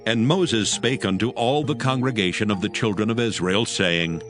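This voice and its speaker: Guy narrating the bible, kinda deep, solemn and measured, soft music behind. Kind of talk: scripted scripture narration. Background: faint music.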